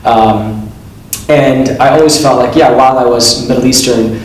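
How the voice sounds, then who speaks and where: A man speaks earnestly and reflectively in an interview, pausing often on "uh" and "um." His voice sits at an ordinary middle pitch. It reaches the mic from a distance in a strongly reverberant room, with faint ambience behind. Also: fairly even intonation, a little hiss or hum. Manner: earnest and reflective, many "uh" and "um" pauses. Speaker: admissions consultant and company founder. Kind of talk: interview answers to an off-camera question.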